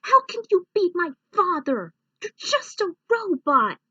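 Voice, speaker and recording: A woman's voice: very high-pitched, very animated, a little slow, sharp tone, no echo, lo-fi sound, pitched above the performer's own voice, exaggerated voice. A woman voicing a doubtful, upset young boy in a story reading, urgent and questioning. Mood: shocked.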